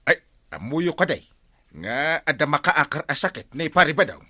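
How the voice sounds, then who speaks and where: A man's voice: on the high side, big pitch swings, normal speed, bright, forceful tone, no echo, full character voice. A man with an Ilocano accent playing an easygoing, hesitant, gossip-loving village captain in a radio comedy drama. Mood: eager, insistent.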